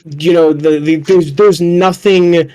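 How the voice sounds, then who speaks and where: Earnest higher-voiced man chatting casually. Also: fairly even intonation, slow pace, dark tone. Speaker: podcast panelist discussing films. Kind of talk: casual chat.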